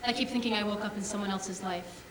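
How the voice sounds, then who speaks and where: A woman's voice: medium pitch, steady tone, fast, recorded from a distance, background noise, close to an everyday voice. A woman on stage playing a wary, skeptical geneticist, speaking in a guarded, hesitant way. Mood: wary, subdued. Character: wary, skeptical pioneering geneticist woken from cryo-sleep. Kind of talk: staged musical reading, stage acting. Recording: echoey theatre stage.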